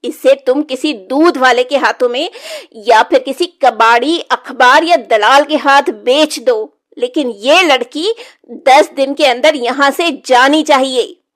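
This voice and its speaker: A woman's voice: sits high, takes it slowly. A woman voicing a cruel, scolding middle-aged village stepmother in a Hindi moral-story cartoon, with a harsh, taunting manner. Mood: furious.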